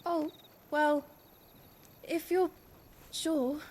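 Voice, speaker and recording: A shy young girl with an extremely high voice and an unhurried, hesitant delivery. She sounds caring, in game cutscene style, over faint crickets. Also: fairly even intonation, a little mellow, a little hiss or hum. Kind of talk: video game cutscene acting. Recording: faint outdoor ambience, crickets.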